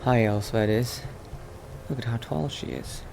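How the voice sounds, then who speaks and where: Halting, muttering male vampire adventurer, played by a man. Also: mid-range voice, gravelly, a little mellow, a little hiss or hum. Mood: musing, polite. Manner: halting, muttering, repeats himself. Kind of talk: improvised video game roleplay.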